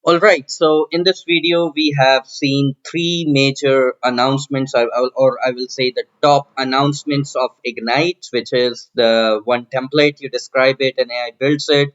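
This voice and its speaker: A man's voice: mid-range voice, steady tone, moderate pace, few pauses, a bit bright. Man presenting, slightly muffled sound. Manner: explanatory, step by step, repeating phrases. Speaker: tech tutorial presenter. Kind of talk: screen-share software walkthrough narration. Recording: dull, lo-fi microphone sound.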